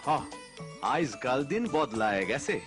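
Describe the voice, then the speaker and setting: Cartoon role: excitable grumbling village grandpa, Bangladeshi accent, swooping animated pitch, music underneath. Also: male voice, measured pace. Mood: pleased. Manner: blustering, exclamatory. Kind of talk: cartoon voice acting.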